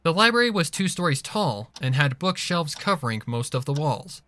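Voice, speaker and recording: A man narrates written fiction in a somewhat high voice. He reads scripted prose as a storyteller, moving through descriptions of scenes and characters. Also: big pitch swings, moderate pace, pushed and bright. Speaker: fan fiction reader.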